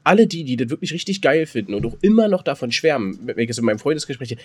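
A man with a German accent chatting casually as a podcast co-host, teasing and quick to interject. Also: medium pitch, very animated, a little mellow, breathy edge. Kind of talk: casual, bantering chat.

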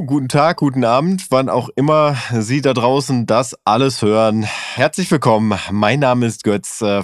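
A man's voice: mid-range voice, dry recording, studio quality. A man co-hosting a casual podcast, telling stories in a rambling, chatty way with many fillers. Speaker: podcast co-host. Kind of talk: casual chat.

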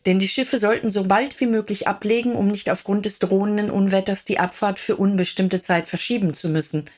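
A woman narrating a radio drama in a solemn, measured way. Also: medium pitch, steady tone, darkish tone.

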